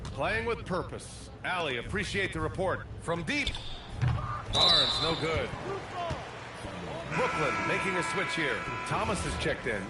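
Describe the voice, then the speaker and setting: An actor playing a polished TV play-by-play announcer calling a basketball video game. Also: male voice, a little high, lively intonation, unhurried. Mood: focused. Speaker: play-by-play sports commentator. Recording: distant, echoey, loud music over game audio. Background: loud music, bouncing basketball.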